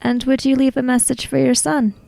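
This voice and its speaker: A woman playing a calm, courteous female samurai in a tabletop game, measured, formal and reserved as she questions a spirit. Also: sits high, gravelly, dry recording. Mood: gentle, solemn.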